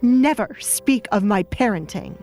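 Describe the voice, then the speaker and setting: A woman's voice: medium pitch, expressive, measured pace, mellow, soft background music. A woman voicing a harsh, imperious middle-aged baroness in an audio drama, with a cold, commanding manner. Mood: scornful, angry. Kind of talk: audio drama character acting.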